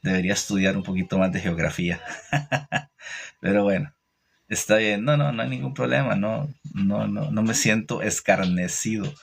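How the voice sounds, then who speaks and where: A man with a Costa Rican Spanish accent giving an informal, didactic religious talk, opinionated, pausing and repeating phrases as he goes. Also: on the low side, creaky, breathy edge. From Costa Rica. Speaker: religious teacher hosting a livestream. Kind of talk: informal religious talk answering live comments.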